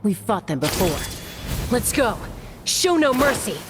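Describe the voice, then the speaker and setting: A woman playing a composed, bold female warrior in a video game, assured and measured. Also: mid-range voice, big pitch swings, over loud music. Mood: eager, bold.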